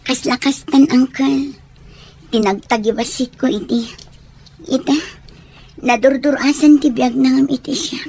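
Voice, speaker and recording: A woman's voice: sits high, steady tone, unhurried, long pauses, mellow, husky. A woman playing a sincere, wounded young daughter with a Filipino accent in an Ilocano radio drama. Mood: ashamed, regretful.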